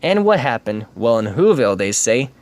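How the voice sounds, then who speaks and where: A man's voice: medium pitch, normal speed. Man giving a rhythmic storybook reading. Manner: rhythmic rhyming delivery with lively emphasis. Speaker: reader.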